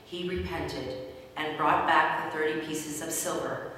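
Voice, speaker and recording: A woman's voice carries from a distance through a very echoey hall. She narrates scripture formally, with a lowish pitch, as the reader of a dramatic story. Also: unhurried, fairly bright tone, a little hiss or hum. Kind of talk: scripted dramatic Bible reading.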